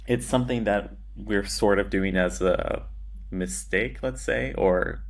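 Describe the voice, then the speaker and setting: A man speaking casually as an interview guest, in a measured, matter-of-fact way with some hesitation. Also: lowish voice, creaky. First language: English. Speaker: podcast guest, agency founder.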